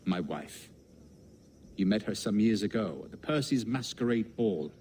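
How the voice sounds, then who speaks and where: A man playing a suave, teasing English gentleman adventurer in a game, with a polished, sardonic English accent. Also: on the low side, expressive, moderate pace, slight background noise, natural voice. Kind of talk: dramatic game acting.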